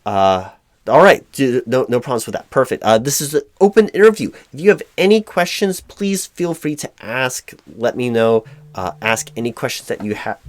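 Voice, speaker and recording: A man performing a job interview roleplay as a flustered, apologetic hiring manager, speaking formally in a halting monotone. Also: on the low side. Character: mildly frustrated, apologetic male corporate hiring manager. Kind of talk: one-sided job interview audio roleplay.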